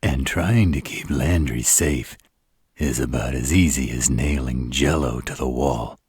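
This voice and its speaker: Man doing a gravel-low, blunt shapeshifter bodyguard. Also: a little slow, darkish tone, no echo, full character voice. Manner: blunt, colloquial, sardonic. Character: gruff, wisecracking male shapeshifter bodyguard, loyal but exasperated. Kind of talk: roleplay audio drama.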